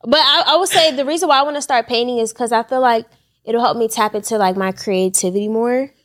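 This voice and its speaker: A woman's voice: fairly high pitch, quick pace, a bit bright. A young woman co-hosting a casual podcast chat, easygoing and reflective. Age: young adult. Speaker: co-host.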